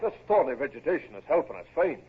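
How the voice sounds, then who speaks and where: Lilting, dry Scottish Marine major. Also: male voice, a little high, very animated, quick pace. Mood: dry, calm. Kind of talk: old radio drama acting. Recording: tinny, noisy vintage radio recording.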